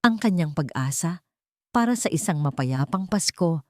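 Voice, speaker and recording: Low-pitched woman narrating drama. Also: expressive, moderate pace, darkish tone, dry recording, clean studio sound. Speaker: storyteller. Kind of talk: scripted dramatic story narration.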